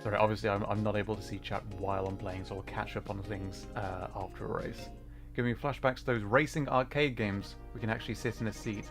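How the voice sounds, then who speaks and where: A man livestreaming a video game, chatting casually with viewers and explaining the play in an excitable way. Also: lowish voice, fairly quick. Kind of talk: casual live gaming commentary, chatting with viewers. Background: video game music.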